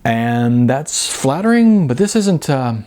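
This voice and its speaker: A man voicing an awkward, gentle middle-aged man in an audiobook, halting and hesitant as he speaks. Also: medium pitch, very animated, clear, resonant tone, pitched above the performer's own voice. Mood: awkward protest.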